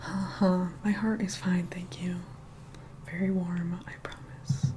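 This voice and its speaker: A woman's voice: fairly low pitch, little pitch movement, slow pace, noisy background. A woman playing a snobbish, reluctant young vampire in an ASMR roleplay, whispering in a sarcastic, bossy, condescending way. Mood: snide, grudging.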